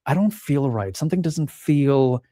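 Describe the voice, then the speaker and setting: A middle-aged man from the Czech Republic talks as an interview guest in a mellow, warm voice, recorded dry and close. He speaks thoughtfully, often restarting phrases, and acts out remembered lines of dialogue. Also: mid-range voice, animated, normal speed, no room sound. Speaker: pianist and podcast guest. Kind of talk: reflective interview talk.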